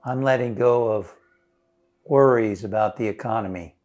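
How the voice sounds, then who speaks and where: A man leading a guided meditation as a minister, speaking in a gentle, soothing, unhurried way with long pauses. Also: mid-range voice. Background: instrumental music.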